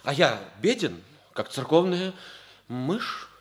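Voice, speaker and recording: An actor playing an ardent, scheming young cavalry lieutenant in a 1960s radio comedy. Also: male voice, on the high side, sing-song, slow. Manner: ardent, earnest, theatrical. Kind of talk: 1960s radio theatre acting. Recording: vintage archive radio recording with music.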